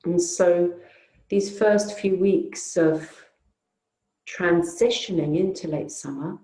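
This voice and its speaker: A woman teaching yoga nidra, guiding a relaxation in a soothing, unhurried, gently inviting way with long pauses. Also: on the low side, roomy sound, low-quality recording. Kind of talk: guided relaxation meditation.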